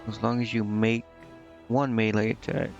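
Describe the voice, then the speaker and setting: A man streaming a video game, casually thinking out loud and reading menu text to himself, with some offhand swearing. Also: lowish voice, flat delivery, moderate pace, vocal fry, dull audio, soft background music. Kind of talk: casual live gameplay commentary, muttering and reading menu text aloud.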